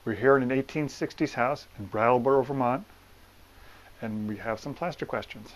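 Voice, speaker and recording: A man with a mid-range voice walks through a repair job in a room of a house. He explains each step plainly and practically, pausing deliberately as he goes. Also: medium pitch, low-quality recording. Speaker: plaster repair business owner and presenter. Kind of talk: hands-on walkthrough explanation to camera. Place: room inside an old house.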